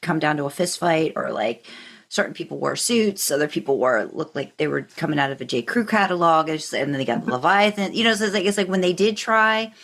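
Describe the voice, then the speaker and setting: Casting: woman podcast host, lowish pitch, casual and conversational, loosely wandering phrasing. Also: brisk, fairly bright tone.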